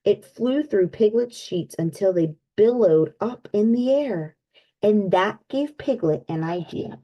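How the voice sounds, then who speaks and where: A woman reading a picture book aloud for children, pausing to ask her young listeners questions. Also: medium pitch, lively intonation, dull audio. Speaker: children's read-aloud host. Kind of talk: storybook read-aloud.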